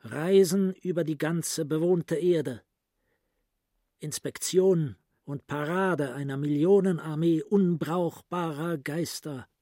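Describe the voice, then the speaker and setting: A grandiose psychiatrist lost in dark private thought. The voice is mellow and breathy, slightly high for a man, and moves with slow, intense deliberation. It is a close, dry radio drama monologue. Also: no room sound. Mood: bitter, grandiose. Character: brooding, grandiose psychiatrist voicing his inner thoughts. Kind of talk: literary radio play monologue.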